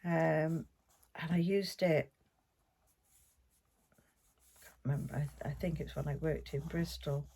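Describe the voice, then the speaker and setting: A woman's voice: low voice, fairly even intonation, a little slow, long pauses, warm tone, breathy edge. Chatty older South Welsh woman. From South Wales. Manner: chatty, meandering, homely. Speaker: podcast host. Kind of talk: casual podcast chat.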